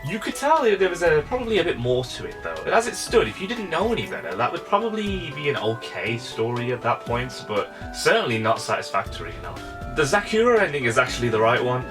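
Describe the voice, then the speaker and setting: A man's voice: medium pitch, big pitch swings, fairly quick, few pauses. A man giving an informal, conversational visual novel review with wry asides. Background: music.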